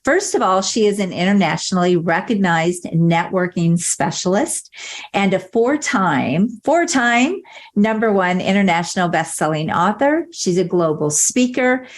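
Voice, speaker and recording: A woman's voice: mid-range voice, expressive, unhurried. Enthusiastic woman podcast host. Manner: enthusiastic, scripted intro. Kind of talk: upbeat podcast interview.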